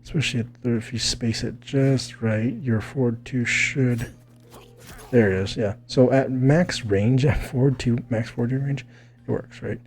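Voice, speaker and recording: A man's voice: medium pitch, fairly even intonation, moderate pace, a little mellow. A man giving a casual fighting game tutorial, thinking aloud in a rambling way and often checking in with "right?". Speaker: gaming video creator explaining techniques.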